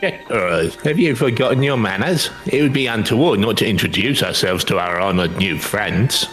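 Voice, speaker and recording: A man's voice: fairly low pitch, animated, normal speed, fairly bright tone, soft background music. A man voicing the ceremonious father of a small mousy tribe in a video game, speaking in a formal, grandiloquent way. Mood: mildly scolding. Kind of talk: video game story dialogue read aloud.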